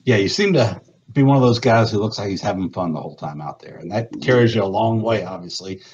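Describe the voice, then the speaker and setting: Husky man hosting a booster chat. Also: fairly low pitch, quick pace, a little mellow, dull audio. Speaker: booster club host. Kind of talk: live interview hosting.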